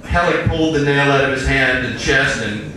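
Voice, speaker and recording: A man's voice: mid-range voice, normal speed. A man narrating a story in a dramatic live reading on stage, recorded in an echoing room. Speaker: writer reading own story. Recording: echoey stage, distant mic, faint noise.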